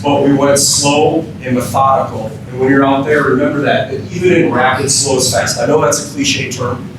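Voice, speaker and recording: A man's voice: fairly even intonation, moderate pace. An American man giving an informal training lecture as a keynote speaker, often quizzing his audience with questions. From Minnesota, United States. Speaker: emergency physician, keynote speaker. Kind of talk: interactive training lecture to firefighters. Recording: very echoey room, distant mic, rumbling noise.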